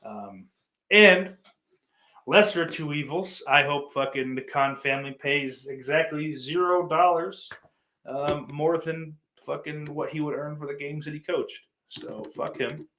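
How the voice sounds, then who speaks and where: A man's voice: fairly high pitch, a little slow, bright, forceful tone, a little hiss or hum. A man hosting a podcast, chatting casually and answering listener comments in a blunt, profane way. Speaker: podcast host.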